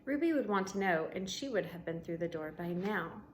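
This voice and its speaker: A woman reading a children's book aloud like a teacher to pupils, expressively, with deliberate pauses between short phrases and casual explanations. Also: fairly low pitch, expressive. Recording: noticeable background noise.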